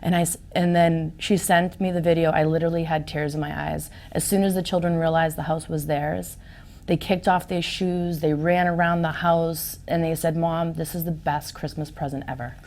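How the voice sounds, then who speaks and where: A woman's voice: deep voice, steady tone, normal speed, darkish tone. A woman in her forties whose first language is Portuguese, talking as a real estate agent in an interview, upbeat and emphatic. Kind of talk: interview conversation.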